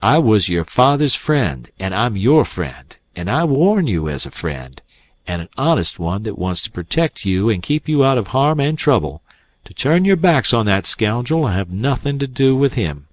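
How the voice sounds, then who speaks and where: A man's voice: mid-range voice, very animated, normal speed, no room sound. A man doing a stern, scornful old doctor. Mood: urgent. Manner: blunt, scornful, commanding. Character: stern, indignant older male town doctor.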